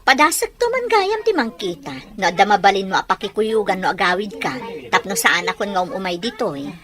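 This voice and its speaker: A kindly aunt in a radio drama serial. Her voice sits at an average pitch, and she speaks with concern and caution. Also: big pitch swings, brisk, fairly bright tone. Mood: concerned, gentle. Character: kindly, cautious aunt looking after a young girl.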